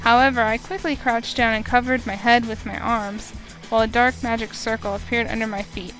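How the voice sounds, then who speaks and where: A woman narrating a visual novel out loud in a fairly high voice. Her reading is expressive, broken up by dry side comments. Soft game music plays underneath. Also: brisk, poor audio. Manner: expressive narration with wry asides. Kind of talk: dramatic reading of game text.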